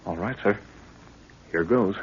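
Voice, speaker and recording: A man's voice: on the low side, unhurried. A man playing an earnest, respectful, anxious young assistant in a 1930s radio adventure. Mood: worried. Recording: muffled, noisy old 1930s radio recording.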